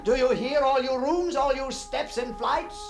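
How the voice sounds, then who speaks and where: An actor playing a haunted, obsessive gentleman in a gothic radio monologue, halting and theatrical, repeating his words with a husky, gravelly voice. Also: very high-pitched, over music, full character voice. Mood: agitated defiance. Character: haunted, obsessive gentleman confronting a ghostly double. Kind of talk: dramatic gothic monologue, radio drama acting.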